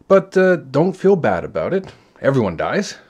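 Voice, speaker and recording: A man's voice: medium pitch, very animated, normal speed, a little mellow, slightly rough. A man voicing a casual, kindly God in a storybook, offhand and reassuring, with a matter-of-fact manner. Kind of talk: storybook character voice.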